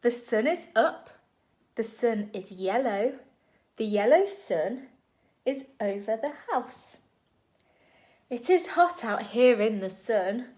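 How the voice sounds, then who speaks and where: High, animated woman reading to children. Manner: expressive, animated, deliberate phrasing for small children. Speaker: children's story reader. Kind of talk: read-aloud storytime for young children.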